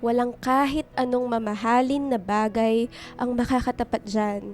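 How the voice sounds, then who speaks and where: An actress playing an affectionate, reassuring young girlfriend in a radio drama, with a sweet, expressive delivery. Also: fairly high pitch, moderate pace, close to an everyday voice. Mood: reassuring, tender. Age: young adult. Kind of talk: Filipino radio drama dialogue. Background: background music.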